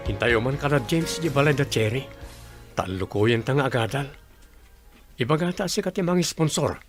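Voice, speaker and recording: A man's voice: mid-range voice, expressive, moderate pace, faint music behind, close to an everyday voice. An actor playing an earnest, kind-hearted grown man in a radio drama, speaking with a northern Philippine accent.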